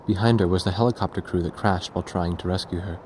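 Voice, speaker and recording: Earnest war reporter played by a man, low and sober, measured delivery for military game cutscenes, faint noise behind. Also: steady tone, close to an everyday voice. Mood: reflective, sober. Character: earnest, reflective male war reporter narrating his memories. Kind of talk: scripted game cutscene narration.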